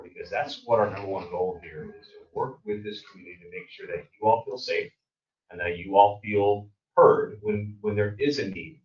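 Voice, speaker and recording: A lower-voiced man addressing a public meeting as sheriff, talking loudly and bluntly. His voice is picked up from across an echoey room, with a dull, muffled sound. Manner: loud, direct and plainspoken. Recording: dull roomy sound from far off.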